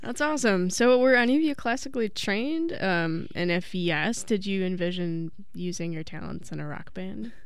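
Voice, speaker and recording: A woman's voice: mid-range voice, expressive, moderate pace, few pauses, clean tone. A woman co-hosting a radio interview, upbeat and giggling between questions. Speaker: radio co-host.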